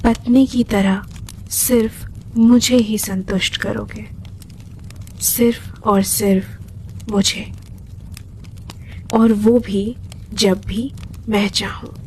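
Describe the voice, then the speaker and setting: A woman playing a seductive, possessive female demon spirit in a horror story, with slow, breathy, whispery, deliberate delivery. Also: mid-range voice, steady tone, darkish tone, slight background noise, poor audio. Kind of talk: Hindi horror audio story acting.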